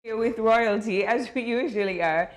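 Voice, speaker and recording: A woman's voice: a little high, normal speed. A woman hosting a morning talk show, asking a guest questions. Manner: frequent encouraging backchannel. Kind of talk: casual interview chat.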